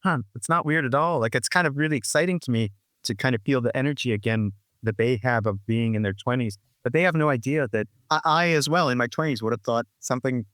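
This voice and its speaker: A man in his sixties talks reflectively as an interview guest, circling back with frequent restarts and fillers. His voice sits at an ordinary middle pitch. The sound is dry and close, with no room around it. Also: fast, a bit bright, dry recording. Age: 60s. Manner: rambling, full of restarts and "you know, like". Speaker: guest musician.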